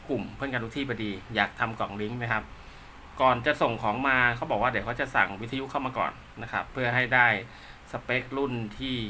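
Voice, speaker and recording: Bright-voiced man in casual hands-on commentary. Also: medium pitch, slight background noise. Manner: polite, rambling, talks to himself while handling gear. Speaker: radio technician and seller presenting his work.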